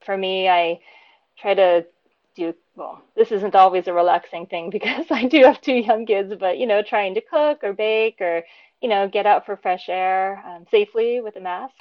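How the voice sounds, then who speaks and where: A woman's voice: medium pitch, no room sound. Thoughtful woman in her thirties, dry dull audio. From born in Japan, raised between the US and Japan. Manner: warm, thoughtful, frequent ums and light laughs. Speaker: interview guest, program teacher. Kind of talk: casual interview answers.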